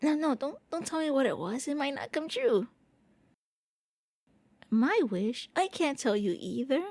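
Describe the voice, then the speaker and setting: A woman voicing a gentle, shy vampire prince in an intimate ASMR roleplay, speaking softly and a little hesitantly. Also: sits high, very animated, measured pace, no echo, full character voice. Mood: playful, shy. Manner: gentle, hesitant, intimate, stammering when flustered. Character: gentle, shy, eager young-looking immortal vampire prince. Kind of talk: soft ASMR roleplay monologue.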